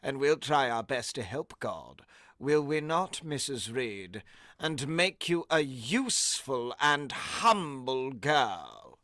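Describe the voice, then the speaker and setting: An actor playing a pompous, sanctimonious male schoolmaster, slow, preachy and condescending, in a vintage radio drama style. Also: mid-range voice, big pitch swings, fairly bright tone, exaggerated voice.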